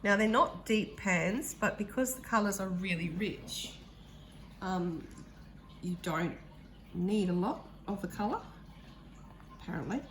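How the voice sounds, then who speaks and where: A woman with a croaky voice, chatting casually and eagerly about art supplies as she unboxes them, with the odd um. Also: medium pitch, measured pace, long pauses, slight background noise. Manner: chatty, enthusiastic, thinking aloud with ums. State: croaky voice, clearing throat. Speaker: art vlogger.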